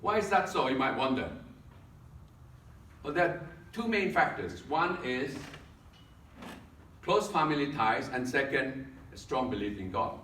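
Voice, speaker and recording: Filipino-accented man, contest speech, dry humor, echoey hall, heard from a distance, faint room noise. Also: mid-range voice. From Philippines. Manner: deadpan joking with pauses for laughs. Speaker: contest speaker. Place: meeting hall.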